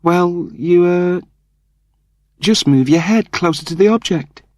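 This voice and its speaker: Sensible young woman in a comic audiobook, acted by a man: raised pitch, plain-spoken, mildly exasperated, dry studio sound. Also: slow pace, darkish tone, dry recording. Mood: patient.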